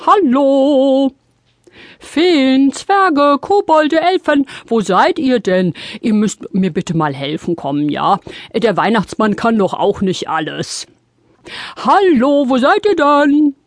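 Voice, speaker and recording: Old Father Christmas, kindly and flustered, very animated delivery, dry studio sound, children's audio drama. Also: very high-pitched, dry recording, full character voice. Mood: calling out. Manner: hesitant, puzzled, drawn-out exclamations. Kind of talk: children's audio play acting.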